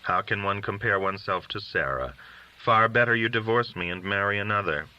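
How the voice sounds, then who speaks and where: A man's voice: on the low side, fairly quick, pushed and bright, highs cut off. A man voicing a pious, self-effacing, worried wife in a folk tale, plaintive and fretful. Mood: sad, chiding. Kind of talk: audiobook character dialogue.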